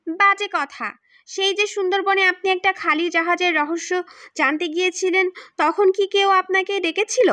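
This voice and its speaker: A woman's voice: very high voice, steady tone, normal speed, pushed and bright, no room sound, voice pushed up. A woman voicing a proud, hot-tempered teenage girl in an audiobook, blunt and defiant. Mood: indignant, defiant. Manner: blunt, indignant, challenging. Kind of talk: audiobook character acting.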